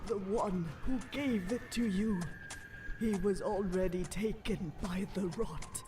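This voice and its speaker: A woman playing a dying zebra possessed by rot, rasping, wheezing and pleading in halting, labored phrases. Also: mid-range voice, recorded from a distance, background noise, lightly acted. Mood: strained, urgent. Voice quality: raspy, wheezing whisper.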